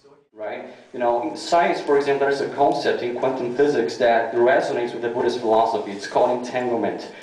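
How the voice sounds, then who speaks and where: A man's voice: mid-range voice, steady tone, moderate pace, darkish tone. Man explaining science in an echoey room. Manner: explanatory, hedging with "you know". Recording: distant echoey room recording.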